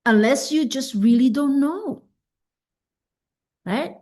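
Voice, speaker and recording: A woman whose first language is Dutch leads a self-help masterclass in a fairly high voice. She talks slowly and reflectively, pausing to check in with her listeners. Her delivery stays calm and unhurried throughout. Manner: unhurried, reflective, often asks "right?". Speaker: coach.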